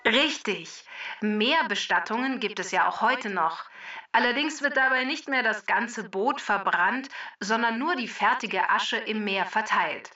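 A woman moderating a children's quiz with scripted, deliberate announcements, heard in a distant, dull recording. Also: a little high, pushed and bright. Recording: distant, dull band-limited sound.

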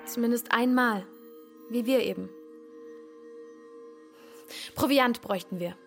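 A woman's voice: on the high side, takes it slowly, gravelly, soft background music. A woman playing a bold, secretive schoolgirl, bossy and conspiratorial, in a radio drama dialogue. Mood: eager, scheming.

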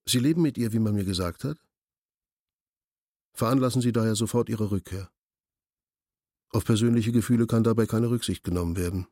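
An actor playing a curt, imperious senior official in a radio drama, speaking in a formal, pedantic, bureaucratic manner. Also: male voice, lowish voice, fairly quick, long pauses, dark tone, no room sound, studio quality. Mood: commanding, dismissive. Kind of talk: German radio drama acting.

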